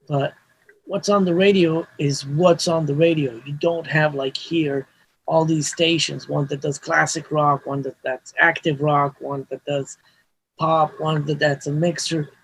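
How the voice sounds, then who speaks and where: A man's voice: fairly high pitch, steady tone, measured pace, husky, low-quality recording. A man, a Hebrew speaker, talking casually as an interview guest, rambling with restarts and frequent "you know" fillers. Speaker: mastering engineer guest.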